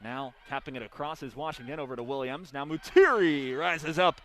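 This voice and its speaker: A man's voice: on the high side, animated, a little fast, a bit bright. A young man doing live radio play-by-play of volleyball, with punchy, clipped calls that swell on big plays. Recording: background noise of a sports arena. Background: arena ambience.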